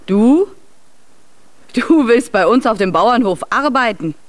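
A woman's voice: a little high, expressive, slow. A woman playing an astonished, incredulous farmer's wife in a children's radio play.

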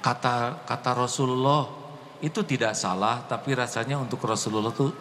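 A man preaching a sermon to an audience and answering questions, gentle at times, then rising to impassioned exclamations. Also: mid-range voice, fairly even intonation, normal speed, big-room sound, a little hiss or hum.

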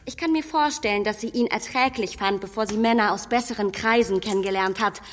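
An actress playing a clever, headstrong young matchmaker in a radio play, arguing in a spirited, defiant way. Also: female voice, mid-range voice, brisk, in a large hall, a little hiss or hum, lightly acted. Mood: cool.

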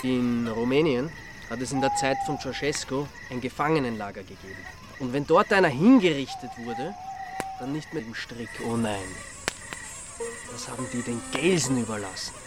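A man's voice: medium pitch, animated, moderate pace, natural voice, poor audio. Guy acting a grumpy tired austrian dandy, radio crime play, dry delivery, music behind him. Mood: grim, musing. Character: grumpy, weary Austrian dandy on holiday, reluctant sleuth.

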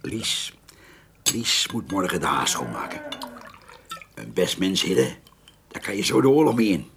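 An actor playing a blunt, folksy old countryman in a radio play, with a gravelly, husky voice and halting phrases. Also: low-pitched, sing-song, fairly bright tone, slight background noise, exaggerated voice. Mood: tipsy, fond. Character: gruff old countryman, poacher, loyal drinking companion.

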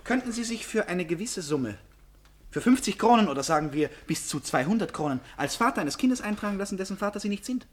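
Radio drama lead: suave, insistent gentleman, lightly high voice, very animated, polite yet insinuating. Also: fast, slight background noise. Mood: insistent, teasing. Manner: courteous, questioning, insinuating. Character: suave, probing, eccentric gentleman, generous and insistent. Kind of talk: German radio drama acting.

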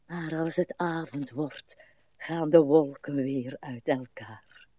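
An actress playing a weary, morbid, rambling old woman in a radio play, with slow, plaintive delivery. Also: deep voice, mellow, dry recording. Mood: gloomy. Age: older.

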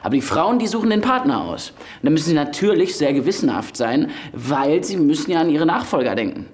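A man's voice: on the high side, sing-song, fairly quick. An actor playing a casual, teasing, slightly wounded man in love in a radio play, speaking in clipped colloquial phrases. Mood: teasing, chatty. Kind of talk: radio play acting with intimate monologue. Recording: music behind the voice.

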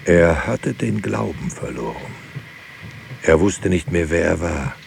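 An actor playing a grave narrator in a literary radio drama, speaking in a solemn, measured way. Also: male voice, low voice, animated, vocal fry, a little hiss or hum, heavily acted. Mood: grave, matter-of-fact. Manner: solemn, measured, terse sentences. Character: grave male narrator recounting lives and losses.

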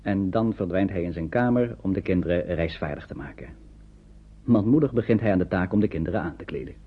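A man narrating an old radio play as a calm storyteller, with scripted delivery. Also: deep voice, brisk, slightly rough. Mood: calm, storytelling. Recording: dull, slightly noisy old radio recording.

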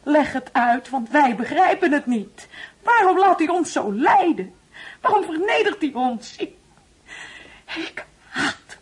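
A woman's voice: sits high, very animated, slow, full character voice. An actress playing a grieving, wounded middle-aged mother in a Dutch radio play, pleading and emotional. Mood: anguished.

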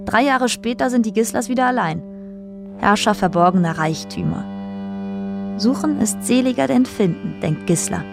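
A woman's voice: fairly high pitch, creaky. A woman reading scripted literary narration as the storyteller of a radio play. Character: female storyteller narrating island histories.

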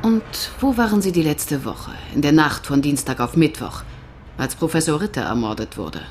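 Cool, low-voiced female detective. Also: expressive, moderate pace, darkish tone, a little hiss or hum. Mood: cool, probing. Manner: insistent, matter-of-fact questioning. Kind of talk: naturalistic German radio drama acting.

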